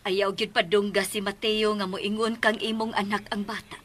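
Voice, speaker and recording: A woman's voice: medium pitch, little pitch movement, normal speed, loud music behind the voice, natural voice. A woman in a radio drama playing a firm, scheming mother of a grown son, emphatic and coaxing in manner. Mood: stern, pleading.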